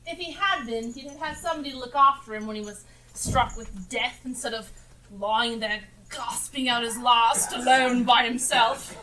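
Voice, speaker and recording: A woman's voice: fairly high pitch, normal speed, sharp tone. A woman playing a brazen, callous, scoffing charwoman in an amateur stage play of a Dickens story. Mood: scornful, callous. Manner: defiant, scoffing, declamatory. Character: brazen, callous charwoman selling a dead man's belongings. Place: theatre stage, live performance. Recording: live stage recording, distant and noisy.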